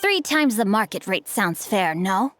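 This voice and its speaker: A woman's voice: medium pitch, sing-song, unhurried, fairly bright tone, slight background noise. A woman voicing a studious, polite young nobleman swordsman in a video game, formal, composed and slyly teasing. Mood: coolly intimidating. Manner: formal, archaic, eloquent, composed. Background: background music.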